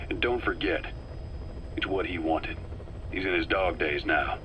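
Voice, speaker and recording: An actor playing a cool, calculating and loyal intelligence operative, deliberate and matter-of-fact, in a dramatic game cutscene. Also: male voice, low-pitched, a bit bright, rough recording. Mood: grave conviction. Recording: faint background noise.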